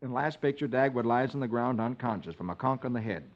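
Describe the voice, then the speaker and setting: Male American radio storyteller, 1950s style: lively intonation, theatrical reading for kids, dull vintage recording. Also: mid-range voice, fast, background noise. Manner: theatrical, sing-song rhymes. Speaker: host reading comics to children. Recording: muffled old radio recording.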